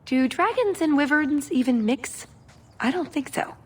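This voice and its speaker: Fantasy game elf adventurer: playful, quick-witted, higher-pitched, very lively intonation. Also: female voice. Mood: thoughtful. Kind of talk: scripted fantasy game dialogue.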